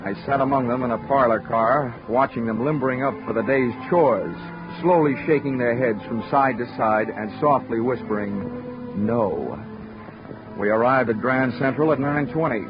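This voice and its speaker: An actor playing a wry, flirtatious insurance investigator with deadpan delivery in 1940s radio detective drama. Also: male voice, medium pitch, moderate pace, natural voice. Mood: wry, dry. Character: wisecracking flirtatious male freelance insurance investigator. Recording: dull vintage radio recording with music behind.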